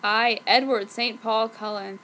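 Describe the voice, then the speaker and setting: A woman reads as a sorrowful, apologetic young vampire boyfriend. The voice is high and earnestly mock-tragic, made for a storybook drama, over clear recording noise. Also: steady tone, measured pace, pushed and bright. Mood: solemn. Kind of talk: mock-dramatic fan fiction reading. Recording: clearly noisy home recording.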